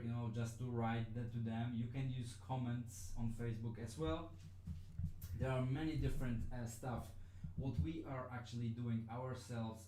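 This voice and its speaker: A man's voice: mid-range voice, dark tone, reverberant, slight background noise, rough recording. Man with a Polish native tongue teaching casually. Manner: hesitant, many fillers and restarts. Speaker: online business trainer. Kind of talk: live-streamed casual lesson.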